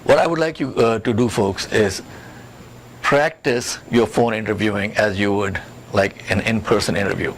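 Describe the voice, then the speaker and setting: A man's voice: lowish voice, normal speed. A man giving career advice in an interview as a coach, with an instructive, emphatic manner that repeats key points. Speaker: career coach and job search expert. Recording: clearly noisy background.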